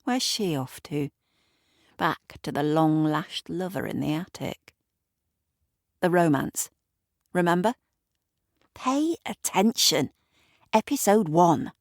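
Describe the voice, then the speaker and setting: Slow, wry woman narrator. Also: deep voice, expressive, dry recording. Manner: wry, with dry asides to the listener. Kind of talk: scripted audio drama narration. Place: recording studio.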